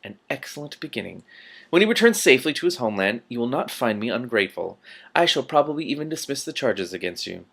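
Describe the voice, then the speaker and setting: A man reads as a coolly threatening lord from a fantasy storybook. He is formal and measured, wrapping menace in polite phrases. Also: mid-range voice, very animated, vocal fry, fairly bright tone, exaggerated voice. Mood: silkily threatening. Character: smooth, menacing male ruler issuing veiled threats.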